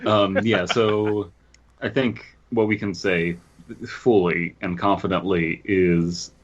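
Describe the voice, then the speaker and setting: A man with a lowish voice hosts a comedic podcast. His talk is casual, joking and somewhat halting. The recording sounds a little dull and lo-fi. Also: fairly even intonation. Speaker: podcast host. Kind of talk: casual comedic chat, reading stories aloud. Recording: slightly dull, lo-fi microphone sound.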